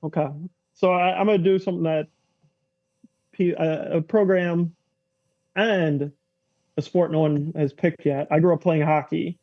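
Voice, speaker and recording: A man's voice: on the high side, steady tone, a little slow, pauses often, dry recording. A man speaking as a podcast guest in a casual sports chat, on slightly muffled audio.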